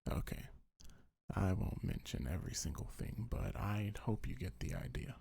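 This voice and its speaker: A man speaking in a deep, breathy, husky voice, half-whispering gentle, reassuring affirmations in a soothing ASMR style. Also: expressive, normal speed, slight background noise. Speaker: ASMR creator reading a comfort script.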